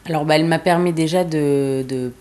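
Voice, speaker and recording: Low-voiced hesitant young French woman. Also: fairly even intonation, brisk, dark tone. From eastern France. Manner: hesitant, many fillers, laughs easily. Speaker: fashion designer guest. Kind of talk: casual interview talk.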